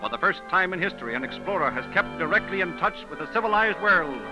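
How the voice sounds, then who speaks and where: A man's voice: sits high, animated, fast. A man narrating dramatically in the style of a 1930s radio show. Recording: dull 1930s radio recording, music behind. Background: music.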